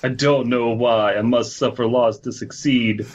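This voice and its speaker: A man's voice: medium pitch, fairly even intonation, measured pace, lo-fi sound, a little hiss or hum. A man co-hosting a casual comedy podcast, riffing irreverently and crudely between bits of horror story he reads aloud. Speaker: podcast co-host.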